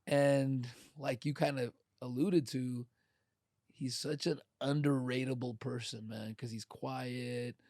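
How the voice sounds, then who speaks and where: A man's voice: medium pitch, steady tone, slow, a little mellow, dry recording, clean studio sound. A man hosting a podcast, chatting casually with loose, profane, joking banter. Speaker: podcast host. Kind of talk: casual interview chat.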